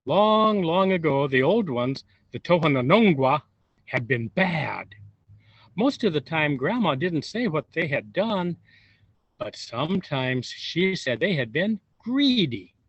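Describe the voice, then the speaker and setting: A man reading a storybook aloud to young children, expressive and unhurried, pausing for wondering asides. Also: fairly high pitch, breathy edge. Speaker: volunteer storybook reader. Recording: roomy echo, dull lo-fi sound.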